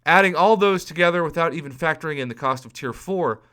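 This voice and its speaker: A man in his thirties with a hoarse voice, talking casually to his viewers and working through game prices out loud with animated emphasis. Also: a little high, fast. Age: 30s. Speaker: mobile game YouTuber. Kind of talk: casual video commentary.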